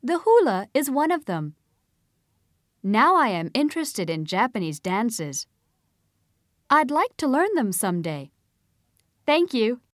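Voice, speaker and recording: A woman reading a scripted passage aloud for a listening test, with careful, clearly spaced delivery into a close studio mic. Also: fairly high pitch, very animated, a little slow, long pauses, no echo.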